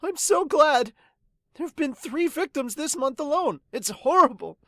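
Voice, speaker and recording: Woman as a high-pitched, distressed townswoman. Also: expressive, measured pace, bright, forceful tone, no echo, exaggerated voice. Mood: anxious relief. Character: relieved, mournful townswoman gossiping about a killer.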